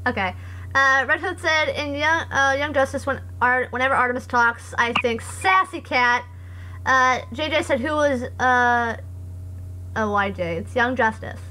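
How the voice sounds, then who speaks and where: A young woman streamer with a hoarse voice, chatting casually and reading comments aloud in a rambling, sarcastic way. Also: high voice, a little slow, bright, forceful tone, a little hiss or hum, poor audio. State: hoarse after voice acting. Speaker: live streamer.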